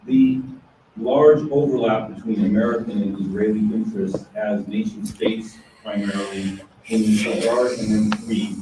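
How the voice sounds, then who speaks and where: A middle-aged man with a booming voice giving a measured, lightly ironic academic law lecture. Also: mellow. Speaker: law professor giving a talk. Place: university lecture room. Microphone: distant room recording. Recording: echoey lecture room from far off, faint hiss.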